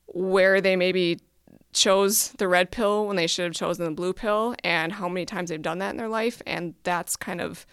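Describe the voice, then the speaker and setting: Smooth-voiced woman, rambling and enthusiastic. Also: lowish voice, steady tone, normal speed, a bit bright, dry recording, clean studio sound. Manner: enthusiastic, rambling, laughs often. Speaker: podcast host and astrologer. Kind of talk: casual conversation.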